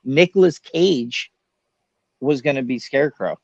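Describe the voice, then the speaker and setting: A man's voice: mid-range voice, measured pace, darkish tone, dry recording. A man chatting casually with friends in a slangy, laid-back way, on a slightly lo-fi microphone. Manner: slangy, laid-back, says bro often. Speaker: podcast panelist. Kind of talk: casual film discussion with friends.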